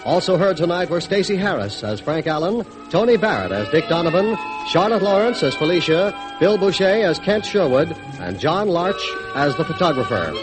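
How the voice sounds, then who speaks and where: A man's voice: on the high side, big pitch swings, moderate pace, low-quality recording. A man announcing closing credits in a formal, projected 1950s radio style. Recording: vintage radio broadcast with music.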